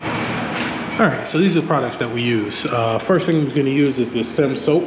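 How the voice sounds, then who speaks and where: A man's voice: mid-range voice, moderate pace. Stuffy-nosed man explaining car work. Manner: relaxed, many ums and restarts. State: stuffy from a cold. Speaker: auto mechanic channel host. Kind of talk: casual how-to walkthrough. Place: home garage workspace. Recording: echoey room with fan noise.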